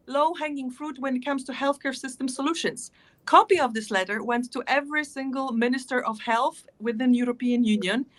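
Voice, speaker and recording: Hesitant woman advocate, higher voice. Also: fairly bright tone. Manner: hesitant, many fillers. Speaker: patient advocate, panelist. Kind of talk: panel discussion.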